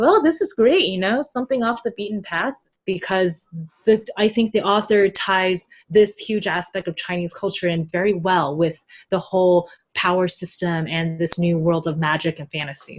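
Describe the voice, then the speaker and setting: A woman's voice: fairly low pitch, moderate pace, lo-fi sound. A woman speaking as an interview guest in casual, candid talk, expressively mimicking other people's reactions. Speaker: podcast guest, novel translator.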